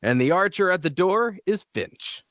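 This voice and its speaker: A man playing a charming, smooth-talking leader of adventurers, flattering and persuasive, in a fantasy audio drama table read. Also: sits high, normal speed, dry recording, clean studio sound. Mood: smooth, reassuring. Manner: flattering, theatrically polite, persuasive.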